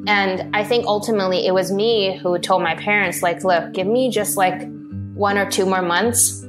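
Young adult woman, from Hong Kong, rambling, fillers, soft music bed. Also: medium pitch, steady tone, normal speed. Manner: rambling, many fillers and restarts. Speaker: interview guest, video producer. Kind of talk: casual interview talk.

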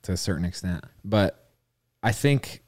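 A man co-hosts a podcast and asks his partner searching questions, stammering and restarting as he gropes for words. His voice is low and sits dry and close to the mic. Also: expressive, measured pace, dry recording. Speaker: podcast co-host. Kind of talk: conversational interviewing.

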